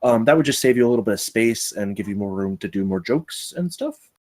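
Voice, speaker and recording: A man's voice: on the low side, lively intonation, fairly quick, a little mellow. A man giving casual spoken feedback on a script, chatty and rambling, often interrupting himself. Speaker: table read participant giving script feedback.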